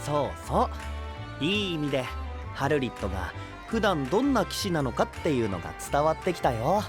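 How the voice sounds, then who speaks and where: Easygoing, expressive young knight. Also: male voice, fairly high pitch, normal speed, over music. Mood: amused, curious. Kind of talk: anime-style voice drama.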